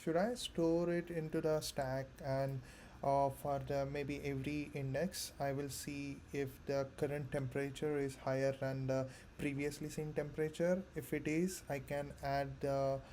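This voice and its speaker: A man thinking aloud haltingly as a candidate in a mock coding interview. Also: medium pitch, level tone, warm tone, a little hiss or hum. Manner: hesitant, halting.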